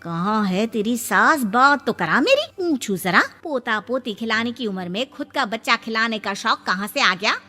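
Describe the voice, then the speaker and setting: A woman's voice: on the high side, expressive, moderate pace, few pauses. Woman acting scolding mother of a married daughter. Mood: disapproving, scolding. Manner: sharp, disapproving questioning. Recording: long reverb, music bed.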